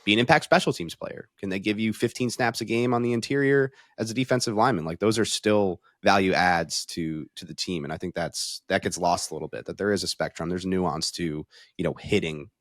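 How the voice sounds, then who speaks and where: A man's voice: sits low, a little fast, a bit bright, dry recording, studio quality. A man analysing football in a casual panel conversation, talking things through in an analytical, conversational way. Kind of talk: casual sports panel talk.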